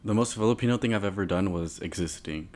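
A man's voice: low-pitched, fast talker, darkish tone. A young man, a podcast co-host, chatting casually with laid-back, dry short remarks.

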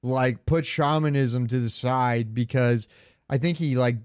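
A dry, close recording of a man with a mid-pitched voice co-hosting a podcast. He chats casually and rambles along with a fan's enthusiasm. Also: measured pace, clean tone, no echo. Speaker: podcast co-host. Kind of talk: casual chat about games.

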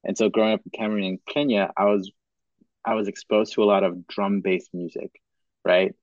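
A young man from Madagascar hosting a podcast, talking in a relaxed, casual way and restarting his sentences as he thinks. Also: on the low side, a little fast, no echo, lo-fi sound. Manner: relaxed, says bro and dope a lot, thinks aloud with restarts. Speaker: podcast host.

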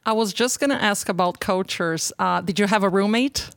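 A woman's voice: mid-range voice, moderate pace, vocal fry, dry recording. A woman hosting a podcast, reading polished prepared introductions and questions. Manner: polished, reads prepared lines. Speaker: podcast host. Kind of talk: scripted interview questions.

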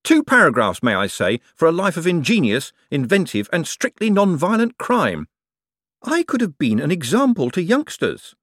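Audiobook role: indignant male swindler played by a male narrator, exasperated, sarcastic, animated pitch, dry studio sound. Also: moderate pace, no room sound. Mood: bitterly sarcastic. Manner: exasperated, protesting. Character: harried, indignant male con artist, quick-tongued and sarcastic.

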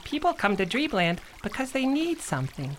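A woman's voice: on the high side, very animated, moderate pace, slight background noise. A woman playing a small, friendly, mischievous creature from dreamland in an audio story. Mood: explaining. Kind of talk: audio theater acting.

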